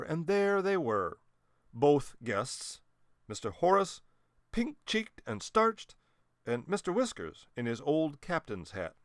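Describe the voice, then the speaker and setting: A man narrating a children's storybook in an expressive way with dramatic emphasis. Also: a little high, no room sound, clean studio sound. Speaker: storybook reader.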